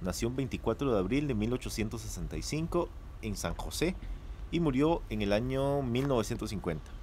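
A man plays a young male student with a Costa Rican accent, earnestly presenting to his class in an educational radio play. His pitch is average and there is slight background noise. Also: expressive, fairly quick, a little mellow, lightly acted.

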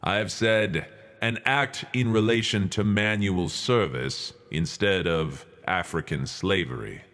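A stern, resolute religious statesman of the 19th century , read by a male performer, delivers a formal political address. The voice is fairly low and very slow, with measured, declamatory phrasing. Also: fairly bright tone. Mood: stern, insistent. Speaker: reader. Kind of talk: dramatic reading of a 19th-century political speech.

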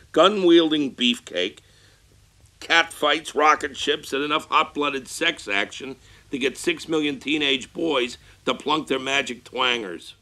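A lecherous old-school schlock movie producer, sounds off in an audio drama. His voice is sharp and forceful with big pitch swings, and his manner is blunt, vulgar and punchy. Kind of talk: interview-style monologue in audio drama.

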